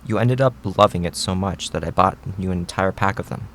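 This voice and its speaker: A man playing a gentle, reflective middle-aged Japanese immigrant father in an audio drama, speaking in a measured, reassuring way. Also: low-pitched, a little hiss or hum.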